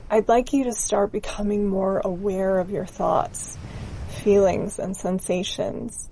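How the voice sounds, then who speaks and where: A woman narrating a scripted guided meditation in a gentle, soothing, unhurried manner. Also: mid-range voice, level tone, a little hiss or hum, poor audio.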